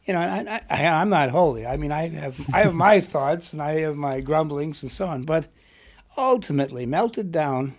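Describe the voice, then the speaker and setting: Reflective middle-aged man, fairly high voice. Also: animated, moderate pace. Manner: reflective, thinks aloud with restarts and laughs. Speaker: author and poet, guest. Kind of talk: conversational interview.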